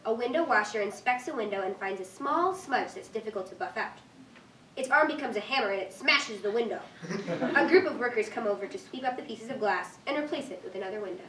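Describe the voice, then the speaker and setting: A woman's voice: on the high side, animated, brisk, a bit bright. A woman reading scripted stage directions as narrator at a live staged reading. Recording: distant echoey room, faint noise.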